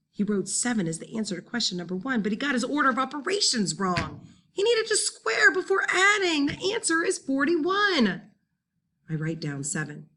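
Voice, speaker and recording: A woman reading a first-person children's novel as an expressive, dramatic audiobook narrator. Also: medium pitch. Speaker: audiobook reader.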